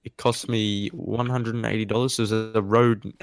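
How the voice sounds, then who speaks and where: Aussie teen guy hosting a podcast w mates, kinda low voice, jokey. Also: flat delivery, normal speed. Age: teens. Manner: joking, playful. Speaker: podcast host. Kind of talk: casual chat among friends. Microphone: desk microphone.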